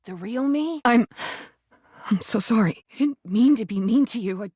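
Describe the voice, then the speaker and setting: A woman's voice: fairly high pitch, expressive, normal speed, warm tone, breathy edge, dry recording, studio quality. A woman voicing an angry, wounded female rubber duck in a video game, blunt and defensive but easily made vulnerable. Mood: flustered, disbelieving, then vulnerable, apologetic. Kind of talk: video game character acting.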